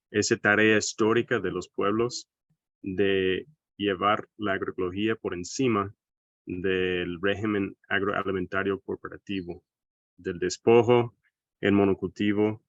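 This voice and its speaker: A man's voice: low voice, no echo. American guy lecturing in spanish, thoughtful, keeps asking little questions, dull lo-fi call audio. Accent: American English. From United States. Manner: thoughtful, often tags phrases with a questioning aside. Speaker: seminar speaker. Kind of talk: online lecture presentation.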